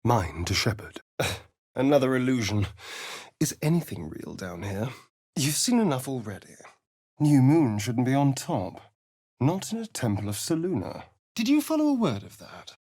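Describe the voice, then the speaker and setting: An actor voicing a vain, sardonic vampire spawn rogue in a video game, arch and theatrical with a drawling delivery. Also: male voice, mid-range voice, very animated, a little slow, darkish tone, husky, no echo, clean studio sound. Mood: wary, muttering. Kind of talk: video game character voice lines.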